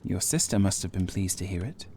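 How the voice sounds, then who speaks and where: Man acting an earnest, concerned young gentleman. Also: deep voice, lively intonation, fairly quick, slight background noise. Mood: concerned, puzzled. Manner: earnest, questioning. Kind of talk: character dialogue in horror audiobook.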